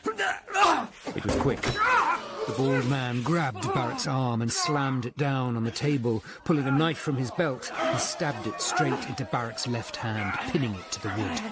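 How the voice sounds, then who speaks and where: An actor playing an earnest, educated Tudor lawyer-detective in a radio drama, speaking in a measured, formal way. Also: male voice, medium pitch, very animated, heavy background noise. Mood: grave, tense narration. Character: sober, educated Tudor lawyer-detective narrating his investigation. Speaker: narrator and lead character. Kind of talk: radio drama narration and dialogue.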